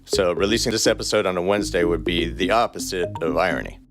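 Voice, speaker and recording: A man podcast co-host who reads fan fiction aloud and jokes with a dry, deadpan humor. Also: sits low, quick pace, over loud music. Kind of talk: reading fan fiction aloud and casual banter.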